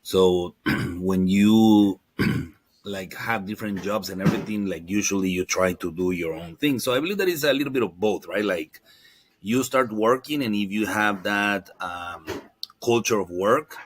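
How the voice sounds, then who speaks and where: A man's voice: fairly low pitch, measured pace, no room sound. A man in his forties from Guatemala, a native Spanish speaker with a Guatemalan accent, chatting casually as an interview guest and rephrasing as he goes. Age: 40s. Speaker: podcast guest, marketing entrepreneur.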